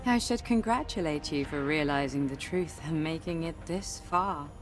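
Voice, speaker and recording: A woman's voice: sits low, normal speed, music in the background, lightly acted. An actress playing a mocking, bitter female villain in a video game, taunting and theatrical. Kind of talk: dramatic video game acting.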